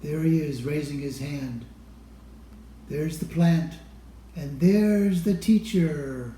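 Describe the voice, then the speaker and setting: A man reads a children's storybook aloud in a mellow, slightly high voice. He takes his time, leaving deliberate pauses between phrases. The sound is roomy and echoey. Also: a little hiss or hum. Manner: deliberate, pausing between phrases to show pictures. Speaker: storybook reader. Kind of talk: read-aloud of a children's picture book.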